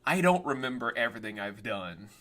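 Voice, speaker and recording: A man in his late twenties with a bright, forceful voice hosts a relaxed interview. He is blunt and sarcastic, cursing freely as he talks. His pitch sits in the ordinary middle range for a man. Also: a little fast. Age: late 20s. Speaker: podcast host interviewing a voice actor. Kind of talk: casual interview chat.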